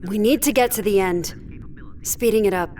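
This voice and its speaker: Woman acting a blunt space crew leader, mid-pitched, brisk, commanding, faint background noise. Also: mellow, close to an everyday voice. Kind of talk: sci-fi horror audio drama acting.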